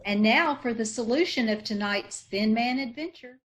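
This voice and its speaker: A woman's voice: a little high, moderate pace, a bit bright, lightly acted. A woman playing a reassuring announcer, reading a commercial in old-time radio style. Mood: persuasive, reassuring.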